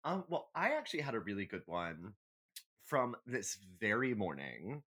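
A man's voice: lowish voice, sing-song, normal speed, fairly bright tone, breathy edge. A man co-hosting a podcast in casual banter, dryly humorous and playfully dramatic in how he tells things. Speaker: podcast co-host.

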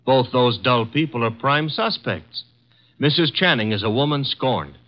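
An actor playing a brash young assistant who talks in a breezy, sarcastic manner, in 1950s radio drama style. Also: male voice, medium pitch, expressive, natural voice. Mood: wry. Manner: breezy, sarcastic, quick with a comeback. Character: wisecracking young male assistant, brash and cheeky. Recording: dull lo-fi 1950s radio broadcast.

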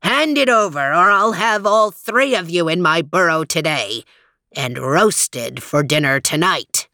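A woman voicing a gruff male troll, a small hedgehog in disguise, with a deep growl in a storybook reading. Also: animated, sharp tone, no room sound, clean studio sound, heavily acted. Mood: menacing. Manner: slow, menacing demands.

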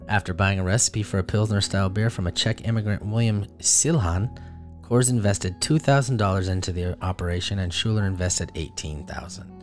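A man co-hosting a podcast, reading a history segment in a measured, laid-back way. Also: low-pitched, faint music behind. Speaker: podcast co-host and producer. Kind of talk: casual chat, reading a story segment.

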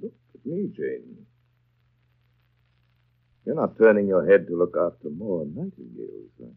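Muffled old radio play, a brooding manor master, really low voice, theatrical, commanding but tender. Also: male voice, huge pitch swings, unhurried, vocal fry, breathy edge, heavily acted. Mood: brisk, teasing. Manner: theatrical, musing, clipped asides. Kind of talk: 1930s radio drama acting. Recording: dull lo-fi 1930s radio recording.

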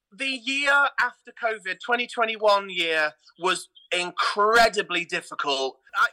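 A man talking as an interview guest, telling anecdotes in an animated, theatrical way. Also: a little high, poor audio. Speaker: guest, theatre producer and pantomime dame.

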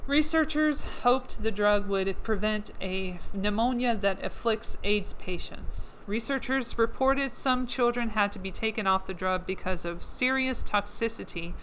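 A woman at a medium pitch reads long news passages aloud and breaks off now and then for dry, sarcastic comments. Her voice is wearing thin and strained. A faint hiss sits under the recording. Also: a bit bright, rough recording. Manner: sarcastic asides between read passages. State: losing her voice. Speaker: podcast host.

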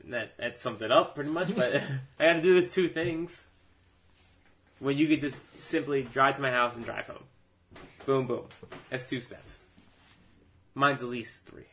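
A man from New Jersey bantering on a podcast, cracking crude deadpan jokes. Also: mid-range voice, unhurried, pauses often. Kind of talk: casual banter. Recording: faint background noise.

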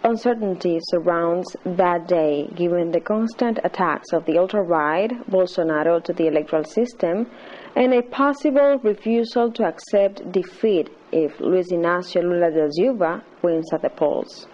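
A woman's voice: on the low side, moderate pace, darkish tone. A woman reading a scripted news report as a radio reporter. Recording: dull lo-fi shortwave radio broadcast.

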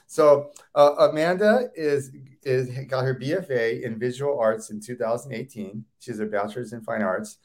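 A man's voice: medium pitch, moderate pace, few pauses, a little mellow. Guy hosting a chat show, super eager, stumbles and repeats himself, medium voice. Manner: enthusiastic, repeats and restarts words. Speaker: host. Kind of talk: casual interview.

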